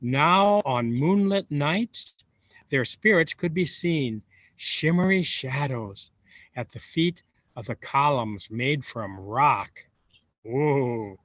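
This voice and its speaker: A man reading a storybook aloud to young children, expressive and unhurried, pausing for wondering asides. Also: medium pitch. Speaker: volunteer storybook reader. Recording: roomy echo, dull lo-fi sound.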